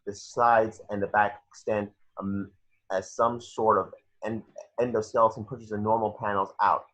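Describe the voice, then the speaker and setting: A man's voice: lowish voice, level tone, normal speed, a bit bright. A man reading screenplay action descriptions at a table read, stumbling a little over the script, on a lo-fi home recording.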